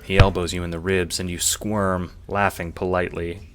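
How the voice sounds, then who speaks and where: A man's voice: sits low, flat delivery, normal speed, fairly bright tone, a little hiss or hum. A man reading scripted second-person narration for an audio drama.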